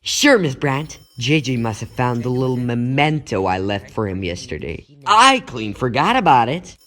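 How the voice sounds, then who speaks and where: A man voicing an earnest high-school boy in a comic book dub, with a boyish, sheepish manner. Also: very animated, moderate pace, fairly bright tone. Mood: polite, hesitant. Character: earnest, youthful male high-school student.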